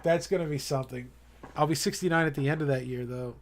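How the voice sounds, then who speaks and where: A man's voice: fairly high pitch, fast, a little hiss or hum. A young man chatting casually to his live-stream viewers, rambling and earnest, with many fillers and restarts. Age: young adult.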